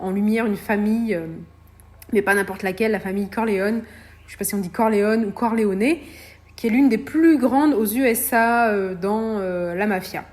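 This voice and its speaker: A woman's voice: medium pitch, darkish tone. Hesitant woman hosting a podcast. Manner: hesitant, many fillers. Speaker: podcast host. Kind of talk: solo podcast monologue.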